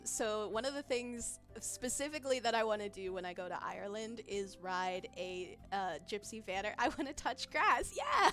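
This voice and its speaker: A woman's voice: a little high, bright, forceful tone. Giggly young woman chatting on stream. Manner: giggly, rambling, many fillers. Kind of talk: casual livestream chat, reading chat. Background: faint background music.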